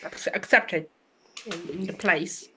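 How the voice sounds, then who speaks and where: A woman's voice: fairly low pitch, slow pace, bright, forceful tone, a little hiss or hum, low-quality recording. A woman from Russia with a Russian accent, chatting casually in English as a guest. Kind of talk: casual conversation.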